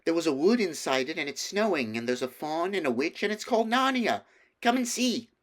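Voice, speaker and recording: A man voicing an earnest, excitable young girl in a children's storybook, pleading and emphatic. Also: a little high, fairly quick, voice pushed up. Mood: excited, insistent. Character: earnest, excitable young girl insisting she tells the truth.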